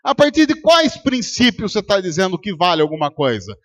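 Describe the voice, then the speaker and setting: High-voiced Brazilian pastor preaching. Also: male voice, big pitch swings, a little fast, a bit bright. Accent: Brazilian Portuguese. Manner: rhetorical, quizzes the congregation and acts out arguments. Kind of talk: sermon. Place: church service.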